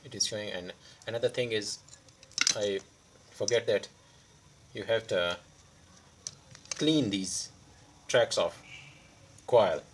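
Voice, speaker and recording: A man who is a non-native English speaker gives an unscripted hands-on repair demonstration, pausing mid-sentence in short phrases. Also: fairly low pitch, background noise. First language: not English. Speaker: electronics repair tutorial host.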